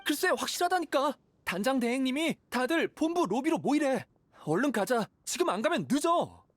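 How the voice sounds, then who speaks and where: Game bit part: urgent young knight, high and animated, brisk delivery. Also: female voice. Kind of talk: animated game dialogue acting.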